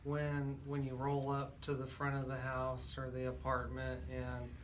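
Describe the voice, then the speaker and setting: A man's voice: mid-range voice, completely flat delivery, a little slow, slight background noise. A man hosting a radio interview, asking questions in a casual, conversational way. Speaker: radio show host.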